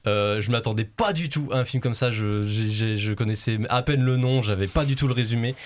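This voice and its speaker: A man's voice: mid-range voice, fairly quick, slight background noise. A man on a casual film podcast, laid-back and playful in his opinions.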